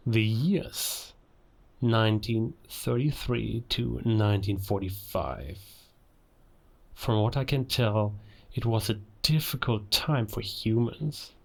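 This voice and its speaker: Man playing a slow, low-voiced, menacing werewolf villain. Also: gravelly, heavily acted. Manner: slow, deliberate, pausing, quietly menacing. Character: cold, menacing male werewolf villain, a murderous spy. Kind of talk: cinematic audio roleplay monologue.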